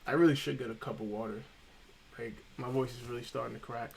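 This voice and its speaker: Casting note: male streamer with a gravelly, creaky voice, casual and rambling. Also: mid-range voice, fairly even intonation, fast talker, low-quality recording. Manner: rambling, chatty, says "freaking" a lot. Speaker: streamer reviewing trophy lists. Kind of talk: casual live-stream chat.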